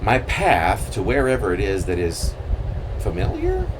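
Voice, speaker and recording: A man reading his own poem aloud in a theatrical, halting manner with swooping emphasis. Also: fairly low pitch, wildly swooping pitch, noisy background. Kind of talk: dramatic poetry reading.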